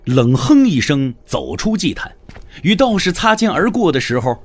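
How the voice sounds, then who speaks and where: A man's voice: on the high side, sing-song, moderate pace. A man narrating a scripted martial-arts audio drama as a dramatic storyteller.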